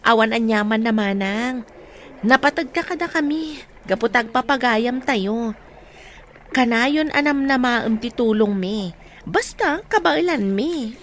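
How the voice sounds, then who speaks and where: A woman acts a cheerful, supportive young Ilocano friend in a homemade drama. Her voice is high, with faint ambience behind it. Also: measured pace, slight background noise, lightly acted. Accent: Filipino, Ilocano. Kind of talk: amateur audio drama acting.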